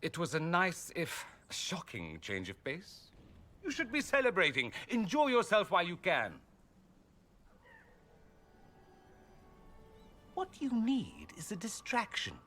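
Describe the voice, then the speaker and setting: An actor playing a witty, flirtatious, suave companion in a video game, with playful, dry teasing. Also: male voice, sits high, huge pitch swings, moderate pace, sharp tone, heavily acted. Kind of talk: video game cutscene acting.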